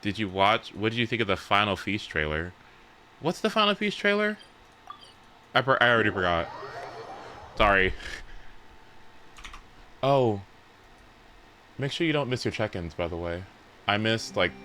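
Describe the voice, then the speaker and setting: A man's voice: on the low side, very animated, unhurried, long pauses, pushed and bright, a little hiss or hum. A young adult man chatting casually on a game livestream, rambling informally and swearing freely. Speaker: live streamer. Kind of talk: casual livestream chat while playing a game.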